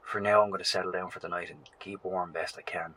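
A low-pitched male survivor speaks a field log for a horror audio drama. He is earnest and reflective, describing things in a measured way. The voice is bright and tense, over noticeable ambient noise. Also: little pitch movement. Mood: pensive, wary. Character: earnest male post-apocalyptic survivor and radio broadcaster.

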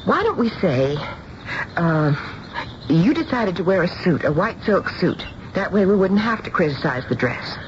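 An actress playing an anxious, gentle middle-aged mother in an American radio drama, with a hesitant, pleading manner. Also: fairly low pitch, very animated, normal speed. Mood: placating. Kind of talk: 1970s radio drama acting. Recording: muffled old radio broadcast, clear noise.

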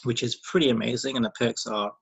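A man's voice: mid-range voice, fairly even intonation, fairly quick, vocal fry, fairly bright tone, slightly rough. A man in his thirties, raised in Wales, chatting casually as a podcast guest, with many ums and easy laughs. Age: 30s. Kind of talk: casual interview chat.

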